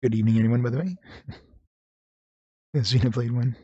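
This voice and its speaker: A man's voice: mid-range voice, fairly quick, dark tone, husky, dry recording, lo-fi sound. A man giving casual let's-play commentary, slightly nasal and congested as his voice recovers, rambling with chuckles and fillers. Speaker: game streamer.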